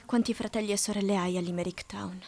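A woman dubbing a resolute young woman in love in a period film drama, sorrowful, soft and measured. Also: medium pitch, fairly even intonation, natural voice. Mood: grave, hushed. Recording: fan dub with audible background noise.

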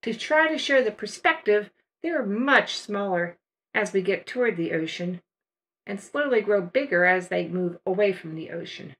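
An older woman talks through her painting steps in a calm, unhurried voice-over. Her voice sits at a middle pitch. She explains as a patient art teacher. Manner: relaxed, unhurried step-by-step explaining.

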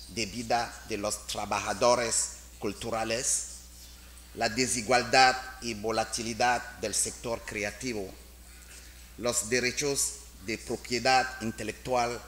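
A man's voice: medium pitch, a bit bright, a little hiss or hum. A middle-aged man with a French accent, reading a formal speech carefully from a podium in a large conference hall. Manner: slow, careful delivery in a second language. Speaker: international organization official. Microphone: podium microphone.

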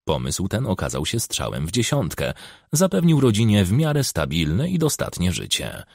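A man narrates a crime novel for an audiobook in a low-pitched voice with a creaky edge. His pitch moves about in a lively way as he reads the scripted prose. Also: normal speed, dry recording, studio quality.

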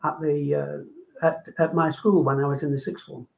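Older Manchester man, halting and thoughtful. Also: on the high side, level tone, vocal fry, a little mellow. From England. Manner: halting, with many ums and repeats. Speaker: guest doctor. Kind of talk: casual video call interview. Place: home room. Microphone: video call. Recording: dull lo-fi video call audio.

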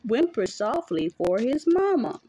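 A woman's voice: a little high, sing-song, unhurried, warm tone, faint music behind. A mother reading a picture book aloud to her young child in a playful, sing-song way, chatting with the child as she goes. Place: small dim bedroom at home.

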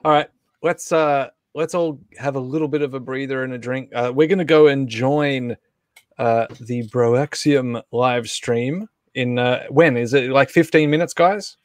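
Male live stream host, casual and conversational, mid-pitched, close dry recording. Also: a little slow, no echo. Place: indoors at home on a group video call.

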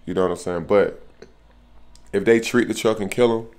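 A man's voice: lowish voice, normal speed, darkish tone. An American man in his thirties talking casually to camera in a hotel room, slangy, peppering his talk with familiar filler phrases. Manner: slangy, repeats "man", "bro" and "you know what I'm saying". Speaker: truck driver vlogger. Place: small furnished hotel room, indoors.

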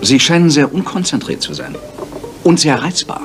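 Ein Sprecher, der in einem Hörspiel einen ruhigen, trocken spottenden Detektiv spielt. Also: male voice, a little high, moderate pace, music in the background. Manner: calm, dry and teasing. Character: cool, wry male detective, middle-aged. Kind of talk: German radio drama.

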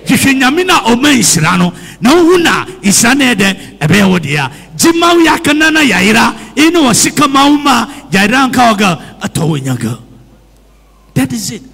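A man's voice: very high-pitched, very animated, normal speed, vocal fry, fairly bright tone, slightly rough. A man preaching a sermon, switching between English and Twi, calling out amens and putting questions to the congregation. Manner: repeats phrases and asks the congregation questions. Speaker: priest. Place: church hall. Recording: long hall reverb.

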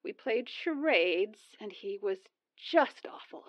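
A man voicing a fretful, chatty middle-aged mother with a soft, drawn-out delivery in an audiobook. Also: high-pitched, lively intonation, dry recording, lo-fi sound, pitched above the performer's own voice. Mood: rueful, chatty. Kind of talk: audiobook character dialogue.

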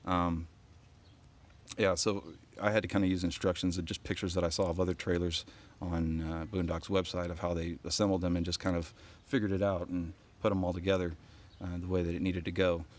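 A man's voice: sits low, little pitch movement, fairly quick. A man walking around his kayak trailer outdoors and explaining it casually, with lots of ums and restarts, filmed on a handheld phone. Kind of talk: casual walkaround show-and-tell. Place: outdoors on a driveway.

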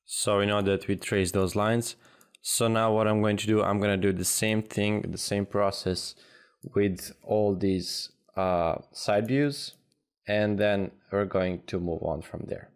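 A man teaching a software tutorial over a screen recording, thinking aloud with frequent pauses and restarts. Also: fairly low pitch, steady tone, clean tone. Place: at a computer, voice-over of screen capture.

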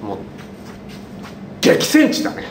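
A man in his thirties hosting a casual game show in a playful, teasing way. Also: takes it slowly. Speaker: quiz master on a web variety show. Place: small indoor studio, close to camera. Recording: noisy, echoey low-quality live webcast.

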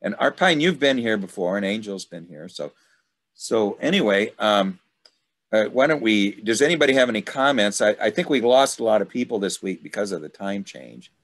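A middle-aged man hosting an informal online study group, talking in a rambling, halting way over a video call. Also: on the low side, lively intonation. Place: indoors at home, video call. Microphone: video call microphone.

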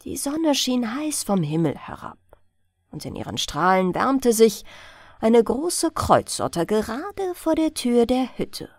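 A woman narrates a bedtime fairy tale as a scripted audiobook. Her delivery is slow and very melodic, with a slightly creaky edge. She tells it deliberately and expressively. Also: a little high, big pitch swings, dry recording, clean studio sound.

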